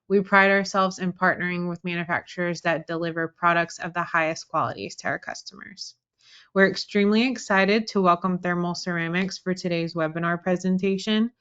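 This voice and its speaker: A woman hosts the opening of a corporate webinar, reading a prepared welcome steadily and formally. Her voice is somewhat low and smooth. The sound is a little dull. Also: a bit bright. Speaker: marketing specialist hosting a webinar. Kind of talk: scripted webinar introduction.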